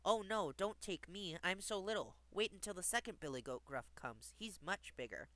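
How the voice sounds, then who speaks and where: A man's voice: high-pitched, lively intonation, normal speed, bright, forceful tone, no room sound, pitched above the performer's own voice. A man voicing a small, timid young billy goat with a very small, pleading voice in a storybook.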